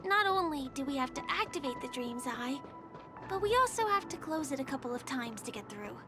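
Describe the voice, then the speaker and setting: High-pitched earnest young game heroine. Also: female voice, very animated, fairly quick, loud music behind the voice. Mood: mildly weary. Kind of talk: video game voice acting.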